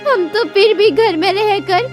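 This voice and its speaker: Woman acting a very high-pitched worried village wife. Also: a little slow, soft background music. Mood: worried, plaintive. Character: poor village wife and mother, gentle, worried, self-sacrificing. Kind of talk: cartoon story dialogue.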